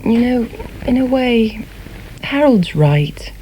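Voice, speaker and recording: Wry, spirited wife in a radio play, relaxed dark tone, slight background noise. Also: female voice, a little high, slow pace, rough recording. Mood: wry, musing. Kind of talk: radio drama dialogue.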